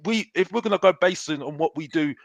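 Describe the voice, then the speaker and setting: A man with a high-pitched voice argues defensively in a casual football chat, stammering and restarting his sentences. The sound is dry and close. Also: fast, vocal fry, dry recording. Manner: stammering, many restarts when pushed. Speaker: content creator guest. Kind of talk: heated casual debate.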